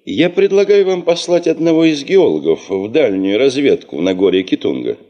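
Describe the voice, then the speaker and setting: An actor in a Soviet radio drama playing a middle-aged expedition boss, self-assured and probing, speaking deliberately with dry irony. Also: male voice, medium pitch, sing-song, muffled recording, natural voice. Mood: deliberate, insistent.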